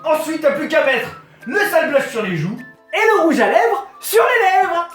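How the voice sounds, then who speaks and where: A woman giving a crude comic makeup tutorial, brash and mocking, shouting her punchlines. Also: sits high, sing-song, normal speed, roomy sound, faint music behind.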